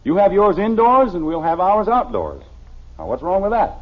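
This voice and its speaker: A man's voice: high-pitched, huge pitch swings, moderate pace. An actor playing a blustery, easily exasperated middle-aged father with an American accent in a 1950s radio sitcom. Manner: indignant, emphatic, mock-patient. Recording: dull old radio broadcast recording.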